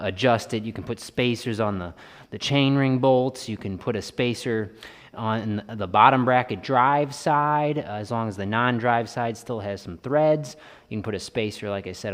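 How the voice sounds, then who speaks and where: A man giving a casual hands-on tutorial, thinking aloud informally as he works. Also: lowish voice. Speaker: bike vlogger and host. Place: indoor garage or shed workshop with plywood walls. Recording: reverberant workshop room sound.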